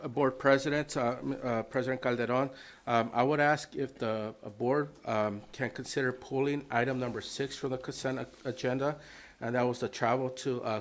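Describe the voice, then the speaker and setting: A man's voice: medium pitch, a little hiss or hum. A man gives formal reports and requests to a school board. He hesitates often, filling gaps with ums and uhs. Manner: hesitant, many fillers. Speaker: district official reporting to the board.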